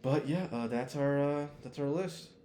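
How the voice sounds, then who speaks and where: A man's voice: medium pitch, moderate pace, warm tone, slight background noise. A man co-hosting a podcast, chatting casually and offhandedly with slang and swearing. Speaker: podcast co-host. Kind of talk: casual chat, ranking anime villains and films.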